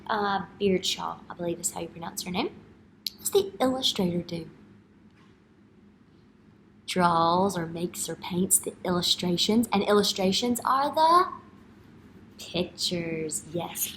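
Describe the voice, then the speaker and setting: Unhurried woman teacher reading to young children. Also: mid-range voice, slight background noise. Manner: instructional, expressive, checks understanding with questions and "right?". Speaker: early-grade teacher. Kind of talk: picture book read-aloud with questions to young children. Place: indoor school classroom.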